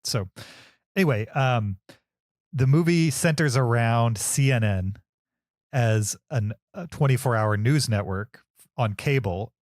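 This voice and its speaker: A man's voice: mid-range voice, takes it slowly, darkish tone, no room sound, studio quality. A man co-hosting a film podcast, explaining things casually in a hesitant, roundabout way.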